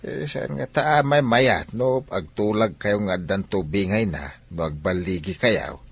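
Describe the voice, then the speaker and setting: A man's voice: on the low side, measured pace, gravelly, slightly rough, dry recording, heavily acted. A Filipino actor playing an older father, a hesitant, conspiring advisor in a radio soap opera. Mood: musing.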